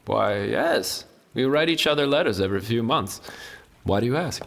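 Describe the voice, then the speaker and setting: An actor playing a kind, principled friar in a fantasy audio drama, a man speaking gently and deliberately with formal concern. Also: mid-range voice, animated, creaky. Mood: wary, troubled.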